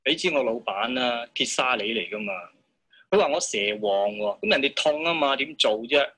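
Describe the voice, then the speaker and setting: A man's voice: medium pitch, lively intonation, moderate pace, fairly bright tone, breathy edge. A man acting as an injured witness in a courtroom training video, complaining at length about his pains and his boss. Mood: aggrieved.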